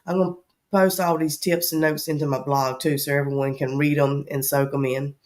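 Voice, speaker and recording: A woman's voice: sits low, steady tone, moderate pace, darkish tone. A woman with a Southern accent hosting a travel vlog in casual chat, her voice scratchy from allergies and her talk rambling with frequent ums. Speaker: travel vlogger host.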